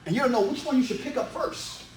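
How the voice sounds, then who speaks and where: High-pitched man presenting in echoey room. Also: quick pace. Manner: emphatic, repetitive, rhetorical questions. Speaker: real estate seminar presenter. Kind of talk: motivational presentation. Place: indoor meetup presentation room. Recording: distant, echoey live room with background noise.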